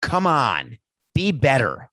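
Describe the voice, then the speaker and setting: A raspy-voiced man hosting a podcast, alone in a home studio and mocking the news in a talkative, sarcastic solo monologue. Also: medium pitch, wildly swooping pitch, slow pace, bright, forceful tone, no echo. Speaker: podcast host. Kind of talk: solo sports commentary monologue. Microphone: desk setup with a webcam.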